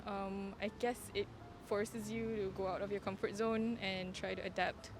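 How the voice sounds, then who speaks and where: A woman's voice: medium pitch, little pitch movement, moderate pace, background noise. A young woman from Malaysia, a student giving casual answers in an outdoor interview. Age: young adult. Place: outdoors on a campus. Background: music.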